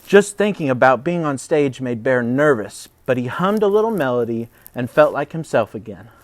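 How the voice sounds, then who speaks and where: A man reading a children's story aloud in an expressive, dramatic way. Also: medium pitch, normal speed, dry recording. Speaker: preschool storybook reader. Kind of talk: animated children's story narration.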